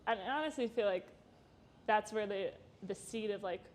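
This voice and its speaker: A young woman in her twenties chats easily as a podcast guest, her speech loose and full of "like" fillers, often breaking into a laugh. Her voice sits at a middle pitch. A faint hiss of background noise sits under the recording. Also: moderate pace. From Chicago. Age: 20s. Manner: chatty, laughing, frequent "like" fillers. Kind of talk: casual interview chat.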